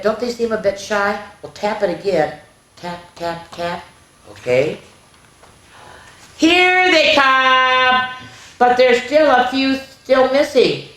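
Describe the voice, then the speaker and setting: A woman hosting a children's story time, reading a picture book aloud in an animated, sing-song, question-asking way. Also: medium pitch. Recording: roomy, echoey indoor sound.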